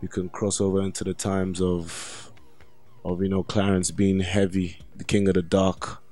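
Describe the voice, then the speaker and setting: A man's voice: low-pitched, monotone, normal speed, a little mellow. A man, speaking conversationally as an interview host.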